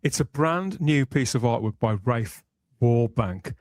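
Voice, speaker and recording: A man's voice: mid-range voice, measured pace, darkish tone, no echo, clean studio sound. A man hosting a podcast, moving between conversational talk and polished scripted narration with wordy, theatrical phrasing. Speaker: podcast host.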